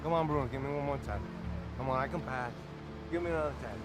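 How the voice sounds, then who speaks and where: A man playing a defiant, mocking drunk in a film drama, drawling and erratic, prone to sudden shouting. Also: medium pitch, fast talker, natural voice. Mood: pleading, slurred. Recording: music behind the voice.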